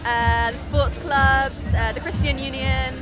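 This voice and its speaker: A woman's voice: high voice, fairly even intonation, unhurried. A young woman from England, a new student, answering a street interview hesitantly with ums. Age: young adult. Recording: noisy fair with crowd and loud music.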